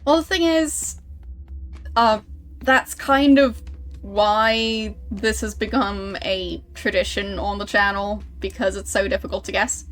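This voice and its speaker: A woman's voice: mid-range voice, slow. A young woman streaming a game, chatting casually with viewers and chuckling as they guess her accent. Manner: relaxed, chuckling, teasing about her accent. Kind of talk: casual livestream chat, reading chat.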